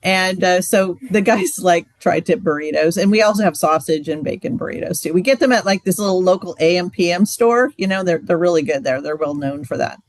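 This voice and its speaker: A woman's voice: fairly low pitch, fairly quick, hardly any pauses, no room sound. Chatty woman with a dry close sound. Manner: casual, chatty, many "like" and "um". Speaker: marriage ministry leader. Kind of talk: training session talk.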